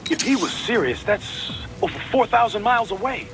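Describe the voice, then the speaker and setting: An earnest game sidekick with a high, lively voice. He reasons analytically and sceptically, with faint music underneath. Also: brisk, heavily acted. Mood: sceptical, concerned. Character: sceptical, analytical male support teammate, earnest. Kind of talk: dramatic video game acting.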